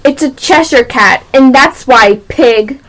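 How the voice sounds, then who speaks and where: A woman's voice: sits high, slow, low-quality recording. A female amateur actor playing a brusque, bad-tempered duchess in a radio play. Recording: loud background noise.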